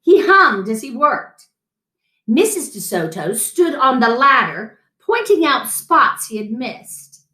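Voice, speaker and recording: A woman's voice: sits high, big pitch swings, takes it slowly, bright, forceful tone. A middle-aged woman reading a children's picture book aloud as a story time host, in a room at home. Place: small room at home, indoors. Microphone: camera mic a few feet away. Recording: roomy echo.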